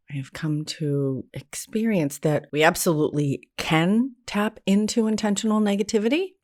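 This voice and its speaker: A woman's voice: low-pitched, darkish tone. An American woman hosting a solo podcast in a conversational, candid and self-deprecating way, with informal wording. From New England, United States. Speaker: podcast host, therapist and spiritual teacher.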